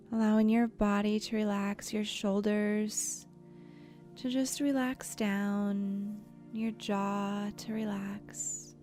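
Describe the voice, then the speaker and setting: A woman guides a visualization in a soft, mellow voice with noticeable vocal fry. She speaks gently and soothingly, leaving unhurried pauses and sometimes slipping into breathiness. Faint music plays underneath. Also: medium pitch. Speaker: guided meditation host. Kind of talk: guided imagery meditation narration. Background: soft background music.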